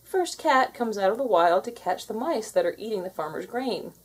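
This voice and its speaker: A woman reading a children's storybook aloud in an expressive style. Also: medium pitch, moderate pace, husky. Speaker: storybook reader.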